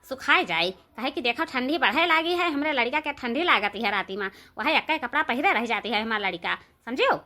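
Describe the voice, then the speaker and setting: Woman acting a high-pitched, scolding village housewife. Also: expressive, brisk, hardly any pauses, pushed and bright. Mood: bossy. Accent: eastern Uttar Pradesh. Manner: scolding, complaining, emphatic repetition. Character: bossy, scolding village housewife in a family feud. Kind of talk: comedy cartoon village dialogue.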